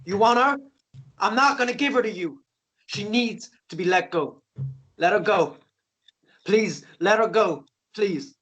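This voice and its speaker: An actor in a table read playing an anguished, tender man, speaking in halting, repeated pleas. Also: sits high, a bit bright. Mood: desperate, defiant. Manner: halting, repeating himself, pleading. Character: anguished, tender man pleading for his beloved. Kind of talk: emotional table read drama acting.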